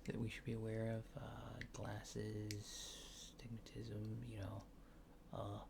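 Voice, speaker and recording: Slow, hushed, creaky man doing ASMR. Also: fairly low pitch, monotone, noisy background. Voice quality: soft, hushed, breathy near-whisper at times. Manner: murmured, hesitant, unhurried short instructions. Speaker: ASMR performer playing an examiner. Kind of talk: ASMR roleplay of a medical exam.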